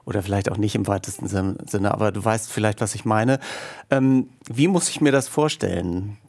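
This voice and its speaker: A man's voice: fairly low pitch, moderate pace, gravelly, a little mellow. A man interviewing in a casual, conversational way, thinking aloud and rephrasing as he goes.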